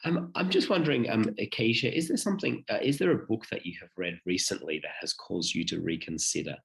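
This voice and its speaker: A man's voice: lowish voice, a little fast, gravelly. A man born in the UK hosting an interview, asking questions and encouraging the guest. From United Kingdom. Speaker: podcast host.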